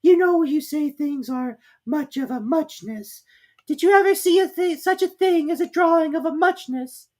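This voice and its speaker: A woman voicing a sleepy, sulky male dormouse in a storybook, with a squeaky, drawn-out delivery. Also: a little mellow, natural voice. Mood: drowsy, rambling.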